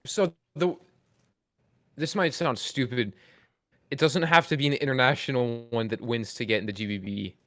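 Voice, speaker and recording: A man's voice: mid-range voice, moderate pace, a bit bright, dry recording. A man co-hosting a podcast in casual, informal chat full of slang. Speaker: podcast co-host.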